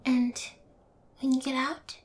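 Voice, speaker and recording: A woman's voice: sits high, monotone, slow. A young woman playing a bold, twisted, spoiled rich yandere girl in prison, in an intimate, teasing audio roleplay spoken to the listener. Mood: soft, scheming. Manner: taunting, brazen, teasing, drops to breathy whispers.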